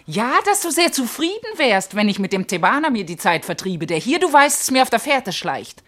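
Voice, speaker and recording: A woman playing a sharp-tongued, scorned servant wife in a German classical verse radio drama, with emphatic theatrical delivery. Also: a little high, sing-song, a little fast. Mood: bitter, defiant. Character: sharp-tongued, scorned servant wife, scolding yet devoted to her mistress.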